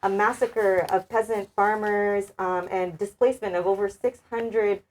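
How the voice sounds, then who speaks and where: A woman's voice: medium pitch, fairly even intonation, measured pace, poor audio. A woman on a discussion panel who makes her points earnestly and asks pointed rhetorical questions.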